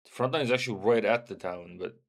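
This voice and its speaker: A man's voice: on the low side, fast talker, creaky. A young man from Syria, streaming, talking loosely and bluntly, swearing and often tagging "right?" onto his points. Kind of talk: casual livestream talk.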